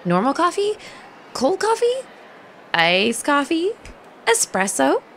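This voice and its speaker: A woman's voice: high voice, wildly swooping pitch, takes it slowly, a bit bright, a little hiss or hum, exaggerated voice. A woman voicing a pushy, bubbly young woman who is bossy and upbeat.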